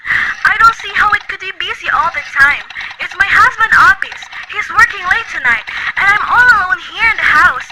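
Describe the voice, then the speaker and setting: A woman voicing a frantic, fretful invalid wife in an amateur radio play, her voice very high and pleading. She talks with a shrill, demanding edge, over clear hiss in the recording. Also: animated, normal speed. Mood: anxious. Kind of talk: student radio drama cover.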